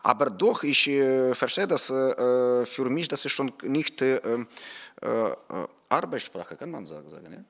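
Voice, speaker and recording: Halting Ukrainian man, many fillers. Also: medium pitch, lively intonation, gravelly, fairly bright tone. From eastern Ukraine. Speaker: writer. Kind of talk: panel discussion in German.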